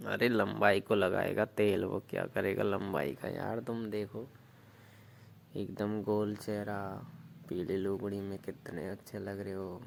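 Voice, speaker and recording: Gravelly young man sweet-talking on phone. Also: on the low side, level tone, a little slow. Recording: noisy phone call recording.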